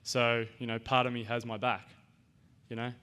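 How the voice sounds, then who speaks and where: Halting man, smooth even voice. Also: mid-range voice. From Australia. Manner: halting, full of ums and restarts. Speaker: seminar participant. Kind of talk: personal sharing at a seminar.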